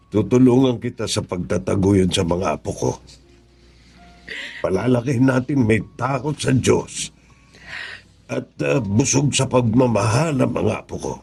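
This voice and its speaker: A man with a Filipino accent playing a caring older grandfather who gently admonishes, in a Tagalog radio drama. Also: fairly low pitch, unhurried, vocal fry, pauses often, slightly rough, faint music behind, full character voice. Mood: gentle, concerned.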